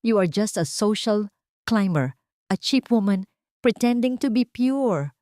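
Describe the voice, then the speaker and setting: A woman's voice: medium pitch, expressive, measured pace, warm tone, no room sound, studio quality, close to an everyday voice. A woman storyteller voicing a spiteful elderly mother-in-law in an audio drama, sneering and accusatory. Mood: loud accusing contempt.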